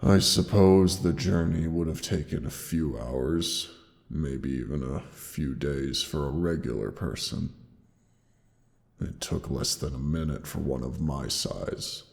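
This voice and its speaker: A huge old dragon near death, rumbles in an extremely deep voice inside a long, cavernous reverb. He speaks very slowly and solemnly, with weary tenderness, as in a fantasy audio drama. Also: a little mellow, exaggerated voice. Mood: tender. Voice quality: deep gravelly, breathy sighs. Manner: slow, solemn, halting, tender endearments. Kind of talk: dramatic roleplay audio monologue.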